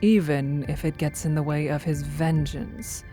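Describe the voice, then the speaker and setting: Casting: actress as a teasing, confident goddess for audio drama; mellow, relaxed tone, measured and wry delivery. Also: female voice, sits low. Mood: cool, teasing. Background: background music.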